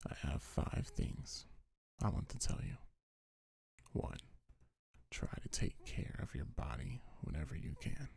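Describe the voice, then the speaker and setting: A man speaking gentle, soothing ASMR affirmations in a breathy, husky half-whisper, unhurried and close to the microphone. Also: rumbling low, huge pitch swings, no room sound. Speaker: ASMR creator. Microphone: close microphone, dry.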